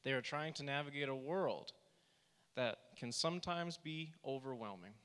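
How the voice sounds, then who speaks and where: A soft-spoken man who is a therapist, giving a church workshop presentation and reading quotes aloud with earnest emphasis. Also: medium pitch, moderate pace, clean tone. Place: church hall. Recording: reverberant church hall.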